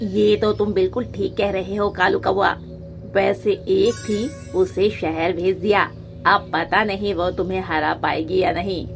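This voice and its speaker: Casting: woman as mild parrot sidekick, middle register, gentle doubter, music underneath. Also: mid-range voice, fairly even intonation, measured pace, creaky. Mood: measured.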